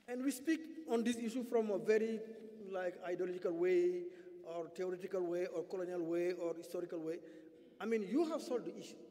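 High-voiced Senegalese man moderating a panel. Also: warm tone. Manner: emphatic, halting with many fillers. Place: large conference hall stage. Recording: hall reverb.

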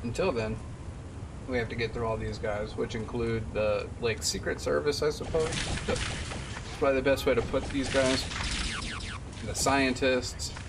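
A man's voice: mid-range voice, flat delivery, normal speed, long pauses. A man in his twenties giving casual commentary as he streams a game. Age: 20s. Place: indoor room. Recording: distant mic under loud game music. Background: loud game music and vehicle noise.

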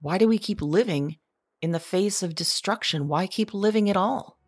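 A woman's voice: medium pitch, moderate pace, a little mellow, no room sound, clean studio sound, natural voice. A woman playing an anxious, searching modern woman in an audio drama, with a hesitant, confessional delivery. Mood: desperate. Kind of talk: audio drama monologue acting.

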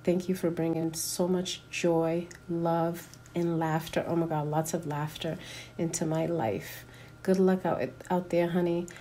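Playful Haitian-accented woman, low mellow voice. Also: level tone, a little slow, a little hiss or hum. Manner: playful, affectionate. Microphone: phone at arm's length.

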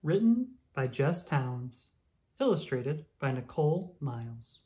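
An expressive storyteller reading a children's picture book aloud. The voice sits at a middle pitch and carries the narration in a storytelling style. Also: slow.

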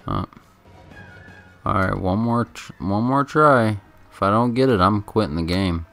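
A man giving casual, laid-back video game commentary in a halting, chatty way, close to the microphone. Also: on the low side, creaky, a bit bright. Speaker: gameplay commentator. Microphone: microphone held very close. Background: game music.

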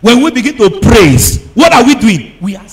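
A man preaching a charismatic sermon, emphatic and exhorting, repeating phrases, firing questions at his congregation and at times breaking into shouts. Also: extremely high pitch, sing-song, moderate pace. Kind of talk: charismatic sermon with call and response.

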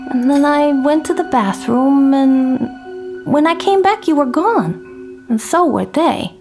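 A woman's voice: sits high, animated, slow, darkish tone, faint music behind, heavily acted. A woman acting a weary, coolly polite 1950s housewife in a radio drama.

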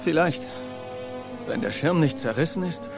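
A man's voice: on the high side, lively intonation, a little slow, creaky, over music. A man acting a scientist's mild, casual assistant in a German audio drama. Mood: casual, apologetic.

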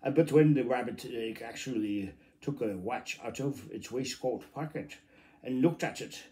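A middle-aged man reads a children's classic aloud in a mellow, relaxed tone. His delivery is informal and halting, as he stumbles on phrases and adds little muttered asides. It is recorded on a phone in a room at home. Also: mid-range voice. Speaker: amateur storybook reader. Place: small room at home, indoors.

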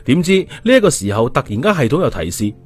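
A man's voice: mid-range voice, very animated, fast talker, faint music behind. A man narrating an audiobook story in a storytelling style.

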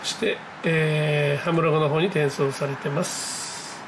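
A man giving casual, excited live commentary as a hobby vlogger, reacting aloud in exclamations as he goes. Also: on the high side, a little slow, background noise. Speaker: amateur radio operator hosting his own video. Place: indoors at a desk, filming a computer screen.

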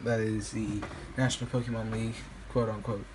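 A slightly raspy young man, a bit sick, commentating his online game battle in a rambling, hesitant way with many ums. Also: lowish voice, level tone, brisk, noisy background. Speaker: gaming YouTuber. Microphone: phone filming a screen. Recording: music playing behind.

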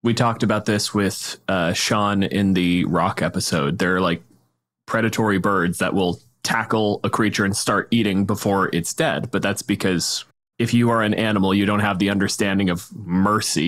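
A man's voice: on the low side, normal speed, fairly bright tone. A man from Canada co-hosting a podcast, moving between discussion and read-out descriptions. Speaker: podcast co-host, physicist. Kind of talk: podcast discussion and scripted reading.

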